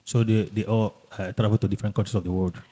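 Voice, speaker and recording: A man hosting a podcast in casual, halting and emphatic speech, often repeating himself. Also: on the low side, flat delivery, dark tone. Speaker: podcast host. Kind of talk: casual podcast introduction and interview chat.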